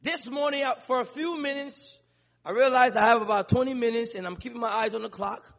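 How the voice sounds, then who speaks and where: A man from Liberia preaching a sermon in a large church, calling to the congregation and rising into shouts. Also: very high voice, brisk, creaky. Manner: rhythmic call-and-response preaching, building to shouts. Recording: long church reverb.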